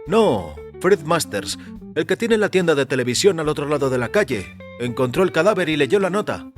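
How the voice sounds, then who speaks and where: A man's voice: a little high, very animated, moderate pace, faint music behind, voice pushed up. A man voicing a plain-spoken, gossiping neighbourhood barman in an audio story, matter-of-fact and unsentimental. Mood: gossipy, frank.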